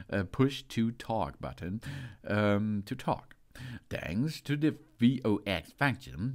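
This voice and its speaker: Male product reviewer, low voice with a lively, swinging delivery, steady step-by-step explainer. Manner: steady, button-by-button explaining with brief hesitations. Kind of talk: product unboxing and instructions.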